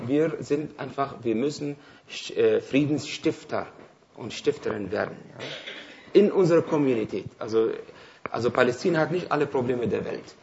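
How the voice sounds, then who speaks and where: A man's voice: medium pitch, creaky, muffled recording, slight background noise. A man from Palestine whose first language is Arabic, speaking German as a guest speaker answering audience questions, hesitant, with many fillers and restarts. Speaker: invited speaker.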